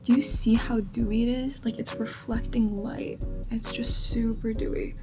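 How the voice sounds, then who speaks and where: A woman's voice: on the high side, fairly even intonation, normal speed. A woman in her twenties who grew up in China speaking Chinese first, chatting casually through a makeup routine with lots of likes and ums. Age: 20s. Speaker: beauty vlogger. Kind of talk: casual makeup vlog chat. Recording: background music under voice.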